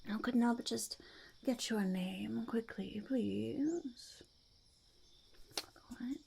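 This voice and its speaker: A woman's voice: on the high side, very slow, creaky, darkish tone, slight background noise, heavily acted. A woman voicing a fussy, impatient goblin boss in a whispered ASMR roleplay, muttering and repeating words. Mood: fussy, polite. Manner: speaks of self in third person, repeats words, mutters approval.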